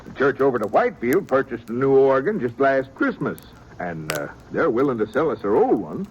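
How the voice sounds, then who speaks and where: An actor playing a fussy, wordy small-town preacher in an old radio drama, pompous and prone to stammering. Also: male voice, mid-range voice, big pitch swings, fairly quick, lo-fi sound, natural voice. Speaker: reverend. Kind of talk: 1950s radio drama acting. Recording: old radio recording with faint hiss.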